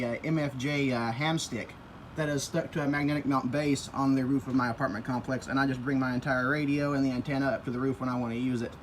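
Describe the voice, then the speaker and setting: A man's voice: medium pitch, a little hiss or hum. A man working as an amateur radio operator, chatting casually and giving call signs outdoors on a rooftop. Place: outdoors on an apartment building roof.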